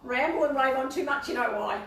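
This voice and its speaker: A woman's voice: sits high, fairly quick. Bubbly woman, echoey and distant. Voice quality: quiet voice. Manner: bubbly, rambling, self-deprecating. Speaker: fashion boutique co-host. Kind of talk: casual live-stream chat. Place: echoey room. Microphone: no mic, far from laptop camera. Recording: echoey room, far from mic, faint hiss.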